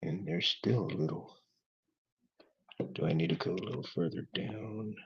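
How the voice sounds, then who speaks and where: Male maker vlogger, deep monotone voice, laid-back working chatter on a dull lo-fi mic. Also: normal speed, vocal fry, husky. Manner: laid-back, talks quietly while working. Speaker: hobby craft vlogger. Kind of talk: casual working chat while building.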